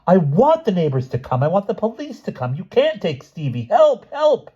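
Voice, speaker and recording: A man's voice: sits high, huge pitch swings, moderate pace, warm tone, breathy edge, muffled recording, pitched above the performer's own voice, full character voice. A man voicing a frantic, defiant young girl in an audiobook story. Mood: defiant, shrill.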